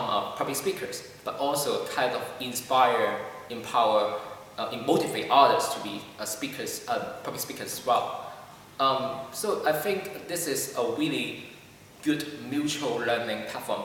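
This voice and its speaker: A man speaking English as a second language, answering interview questions with many pauses, fillers and restarts. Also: mid-range voice, a bit bright, a little hiss or hum. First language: not English. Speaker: club member. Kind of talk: casual interview. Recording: echoey hall from far off.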